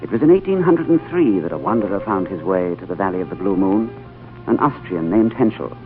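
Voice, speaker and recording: An actor playing a male diplomat who narrates his strange journey in a 1930s radio drama, earnest and expressive. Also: sits low, fairly quick. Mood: narrating. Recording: tinny old radio broadcast with music.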